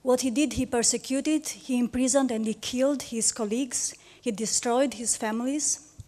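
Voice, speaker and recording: A woman's voice: fairly high pitch, normal speed, darkish tone. A woman from Albania with an Albanian accent, speaking from the audience at a public debate and giving an earnest, emphatic testimony. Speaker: audience member.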